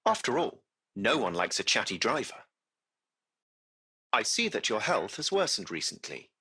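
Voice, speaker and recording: A crisp-edged, forceful yet impeccably courteous machine chauffeur from a video game. The delivery is formal and precise with a lively, varied melody, and the voice carries a gravelly creak. The character sounds curious and butler-like, choosing words with care. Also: male voice, on the low side, moderate pace, exaggerated voice. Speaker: AI driver of a cab service.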